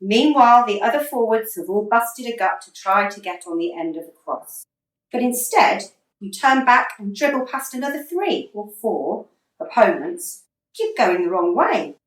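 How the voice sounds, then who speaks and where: A woman's voice: medium pitch, big pitch swings, moderate pace, lightly acted. A woman acting a blunt, exasperated female football manager in a radio play, scolding and emphatic. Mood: critical, sardonic. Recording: roomy, distant microphone.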